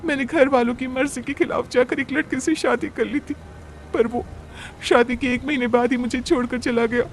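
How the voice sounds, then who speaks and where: A man's voice: extremely high pitch, fairly even intonation, normal speed, vocal fry, pitched above the performer's own voice. A man voicing a polite, plaintive young pregnant woman in a storybook tale. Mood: ashamed, plaintive. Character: soft-spoken young pregnant woman in trouble. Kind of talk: acted storybook dialogue.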